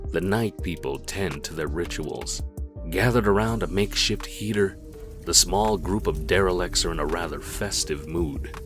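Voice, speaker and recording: A man with a very low voice narrates a comic book story. His delivery is dramatic and deliberate, with music underneath.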